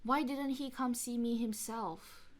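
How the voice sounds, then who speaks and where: A woman's voice: steady tone, measured pace, background noise, natural voice. A woman voicing a sorrowful, resentful young ghost bride with a girlish, plaintive voice in an amateur audio drama on a home recording. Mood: plaintive questioning. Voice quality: high, girlish. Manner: plaintive, pleading, melodramatic.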